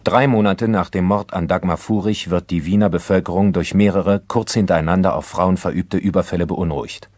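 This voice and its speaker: An actor playing a grave crime chronicler, narrating a radio drama in a sober, measured way. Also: male voice, deep voice, fairly even intonation, darkish tone, dry recording.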